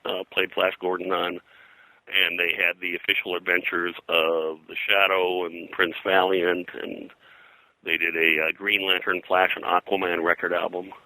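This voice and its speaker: An older man, a pop culture historian being interviewed, reminiscing in a halting, digressive way full of detail. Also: sits low, flat delivery, bright, forceful tone, dry recording, poor audio. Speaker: pop culture historian and comics colorist, guest.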